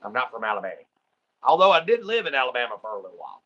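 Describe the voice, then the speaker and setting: A man with a Texan drawl talks loosely to his viewers, wandering from thought to thought with plenty of ums. His voice has a bright, forward edge at an ordinary middle pitch. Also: big pitch swings, very fast, lo-fi sound. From Southeast Texas. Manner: rambling, easygoing, many ums and uhs. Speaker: livestream host. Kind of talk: casual chat.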